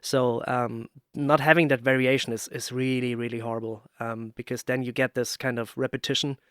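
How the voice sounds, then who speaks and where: A man with a medium-pitched voice hosts a casual podcast interview. The sound is dry, and he tells stories conversationally, slipping into quoted reactions. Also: level tone, moderate pace, a bit bright, clear, resonant tone, dry recording. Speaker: podcast host.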